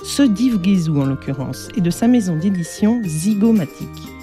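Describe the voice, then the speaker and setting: A woman hosting a radio interview, chatty and quick to react. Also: lowish voice, animated, mellow, soft background music. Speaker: radio host. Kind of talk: radio interview and scripted intro.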